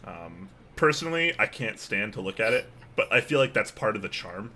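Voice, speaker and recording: A man co-hosting a casual keyboard podcast, relaxed and upbeat, with plenty of fillers. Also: fairly low pitch, moderate pace, a little hiss or hum. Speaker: podcast co-host. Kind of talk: casual chat about keyboards.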